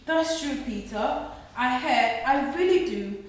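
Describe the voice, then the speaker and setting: A woman's voice: high-pitched, lively intonation, takes it slowly, slight background noise. A woman playing a devout, long-suffering wife and mother in a radio play, earnest and pleading, hurt but defiant. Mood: hurt, tender. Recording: echoey room, far from mic.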